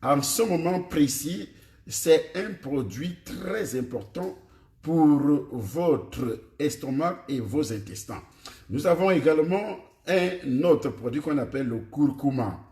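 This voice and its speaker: A man's voice: on the high side, expressive, takes it slowly, darkish tone. A man with a Cameroonian French accent whose first language is Ewondo, a preacher teaching his congregation about food and health, repeating himself and questioning his listeners directly. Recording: echoey room.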